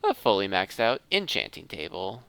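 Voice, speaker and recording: A man's voice: fairly low pitch, very animated, moderate pace, creaky, fairly bright tone, smooth, dry recording. A man hosting a gaming video, giving casual commentary and thinking aloud as he talks through each step. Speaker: host of a gaming video series.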